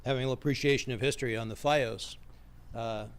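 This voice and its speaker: A man's voice: medium pitch, fairly even intonation, fast. A man presenting a report at a public meeting and explaining things informally. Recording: faint background noise in meeting room.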